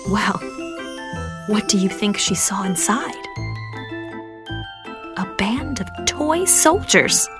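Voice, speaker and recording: A woman narrating a children's storybook in an expressive, measured read-aloud manner. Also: medium pitch. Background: music.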